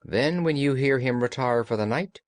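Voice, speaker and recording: A man voicing a commanding, analytical gentleman detective in an audiobook, speaking precisely and formally. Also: medium pitch, moderate pace, no echo, lightly acted. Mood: brisk, instructing. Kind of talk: audiobook character dialogue.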